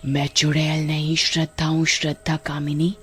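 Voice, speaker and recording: A woman voicing a vengeful female ghost who speaks low and threatening. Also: monotone, moderate pace, lightly acted. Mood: stern.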